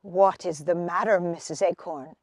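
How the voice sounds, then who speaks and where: A woman's voice: on the low side, moderate pace, a little mellow, dry recording, clean studio sound, pitched below the performer's own voice, close to an everyday voice. A woman voicing a polite, kindly male squirrel in a storybook, with a comforting manner. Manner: polite, soothing.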